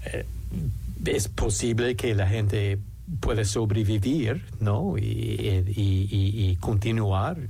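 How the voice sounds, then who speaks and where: A man's voice: fairly low pitch, vocal fry, mellow, breathy edge. A man, an English speaker, hesitantly talking as a guest in a casual interview. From United States. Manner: hesitant, halting, many restarts.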